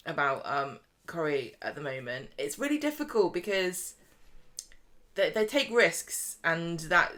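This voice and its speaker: A woman with a fairly low voice co-hosts a casual podcast. She talks informally, wandering through her thoughts as she discusses television drama. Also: big pitch swings, measured pace, a bit bright. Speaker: podcast co-host. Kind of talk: casual chat about a TV soap.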